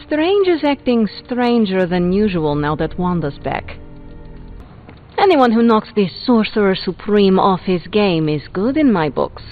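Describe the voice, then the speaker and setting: Sardonic, animated superhero. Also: female voice, mid-range voice, a little slow, narrow, tinny audio. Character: sardonic, irreverent female superhero. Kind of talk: video game voice acting. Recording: band-limited game audio, faint music.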